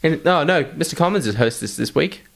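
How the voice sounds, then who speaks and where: Animated man bantering on a podcast. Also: on the high side, brisk, gravelly. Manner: sweary, jokey. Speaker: podcast co-host. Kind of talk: casual comedic group chat.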